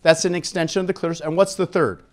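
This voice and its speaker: Middle-aged American male seminar speaker, pitch a bit above average, handheld mic, frank public-lecture delivery. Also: fairly even intonation, racing. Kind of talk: public lecture with questions to the audience. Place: conference room at an expo, live audience. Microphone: handheld microphone.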